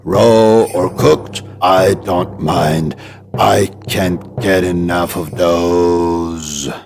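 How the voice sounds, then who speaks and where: A man playing a hungry, child-eating giant with a deep booming voice and a drawn-out, menacing delivery in a storybook tale. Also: fairly even intonation, vocal fry, darkish tone, slight background noise, exaggerated voice. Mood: menacing, gloating.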